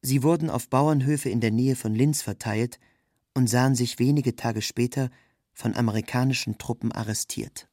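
A man's voice: medium pitch, steady tone, moderate pace, dark tone, no room sound. Dry, close-sounding male literary narrator. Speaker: narrator, reader of a literary text.

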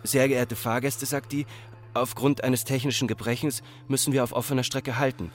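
An actor playing an Austrian farmer's son, telling a childhood memory in plain, measured radio drama narration. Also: male voice, mid-range voice, fairly even intonation, close to an everyday voice. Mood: reflective.

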